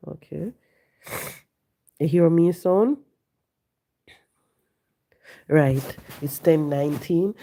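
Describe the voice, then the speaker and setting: Hoarse low-voiced woman. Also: warm tone. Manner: preachy, repeats points. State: hoarse and congested from flu. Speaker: livestream host. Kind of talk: casual live-stream talk reading viewer comments. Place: home, sitting.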